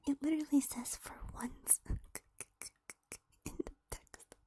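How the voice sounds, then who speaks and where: A woman streamer telling a story in a soft unvoiced whisper, playful and teasing, with giggly asides to her chat. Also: high voice, steady tone, takes it slowly.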